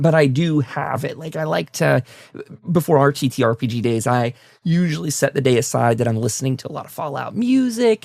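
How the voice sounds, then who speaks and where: A man's voice: mid-range voice, creaky, darkish tone, no room sound, clean studio sound. A man hosting a casual interview show, speaking in an enthusiastic, gushing manner. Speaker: talk show host. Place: basement, at a table.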